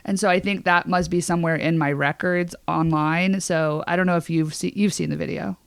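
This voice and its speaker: A woman's voice: lowish voice, steady tone, brisk, gravelly, smooth, no room sound. An American woman co-hosting a podcast, talking casually in an emphatic, opinionated and slangy way. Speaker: podcast co-host. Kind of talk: casual pop-culture chat.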